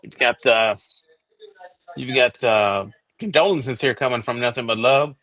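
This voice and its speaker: A man's voice: mid-range voice, measured pace, a bit bright, low-quality recording. A man hosting a live stream in casual chat, sympathetic and often stammering and repeating words.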